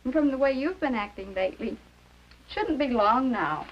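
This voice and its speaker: A woman's voice: sits high, lively intonation, normal speed. A woman playing an exasperated, matter-of-fact teenage American big sister in a 1950s educational film. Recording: dull, faintly noisy 1950s film soundtrack.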